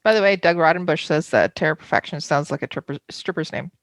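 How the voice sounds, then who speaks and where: Creaky co-host, sex ambiguous by ear. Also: medium pitch, fast, fairly bright tone, no echo. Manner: brief, questioning interjections. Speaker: podcast co-host. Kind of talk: casual chat.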